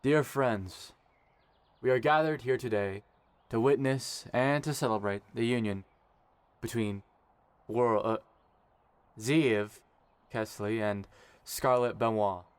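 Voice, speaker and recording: A man playing a dignified, sincere young emperor in an audio drama, speaking in a measured, formal way. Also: mid-range voice, clear, resonant tone, no room sound, natural voice. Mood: solemn, sincere. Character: warm, dignified young emperor officiating a wedding.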